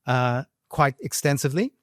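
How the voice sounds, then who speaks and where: A man from South Africa interviewing a guest, asking brisk, steering questions. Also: medium pitch, expressive, no echo, clean studio sound. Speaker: interviewer, news correspondent.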